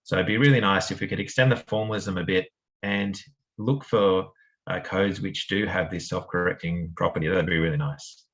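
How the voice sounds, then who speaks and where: A man's voice: sits low, fairly even intonation, normal speed, fairly bright tone, muffled recording. A man with a strong Australian accent giving a friendly academic seminar talk, with frequent fillers and restarts. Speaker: lecturer.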